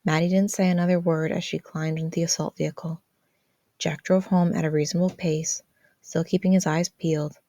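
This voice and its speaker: A woman's voice: deep voice, flat delivery, fairly quick, mellow, no room sound, lo-fi sound. A woman reading scripted fan fiction narration as a podfic narrator.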